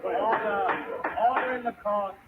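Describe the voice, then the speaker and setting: An actor playing an insistent court officer calling for order in a 1930s radio drama. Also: male voice, sits high, unhurried. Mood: commanding. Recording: tinny, very noisy radio recording, crowd uproar.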